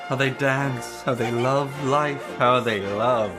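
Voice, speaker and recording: A man's voice: mid-range voice, slow pace, music in the background, close to an everyday voice. An actor playing a flamboyant, arrogant prince in an audio drama, grandiose and declamatory as he savors his words. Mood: gloating, delighted. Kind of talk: theatrical audio drama acting.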